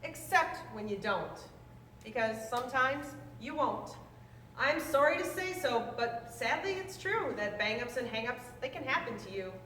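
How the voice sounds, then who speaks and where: A woman reading a children's rhyming book aloud in a lively, sing-song way. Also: a little high, normal speed. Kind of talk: read-aloud storytelling. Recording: roomy, distant mic, faint noise.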